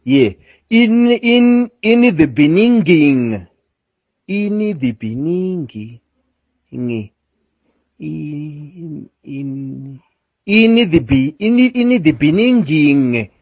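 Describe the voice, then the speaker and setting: An older man reads a speech in a halting, repetitive way. His voice is fairly high and mellow, with very slow pacing. The recording is dry and lo-fi. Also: very animated, no room sound. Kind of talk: halting public speech read aloud. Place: on stage at a lectern. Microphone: podium microphones. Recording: dull lo-fi clip of a speech.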